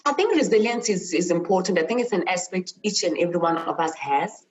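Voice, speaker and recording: Low-voiced South African woman, earnest. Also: expressive, fairly quick, loud music behind the voice. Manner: earnest, emphatic, repeats phrases for stress. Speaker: university chemist and lecturer, interview guest. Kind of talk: personal interview, reflective storytelling.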